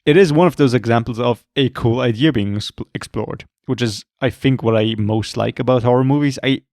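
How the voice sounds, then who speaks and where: A man co-hosting a podcast, talking casually about horror films, rambling and swearing often, with a voice going hoarse. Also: medium pitch, a little fast, a little mellow, no echo, studio quality. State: losing his voice, throat clearing. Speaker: podcast co-host. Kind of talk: casual film chat.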